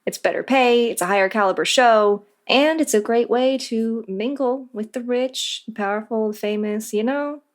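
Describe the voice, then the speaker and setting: A woman's voice: on the high side, normal speed, hardly any pauses. A woman answering as an interview guest, in a scripted but conversational explanatory style.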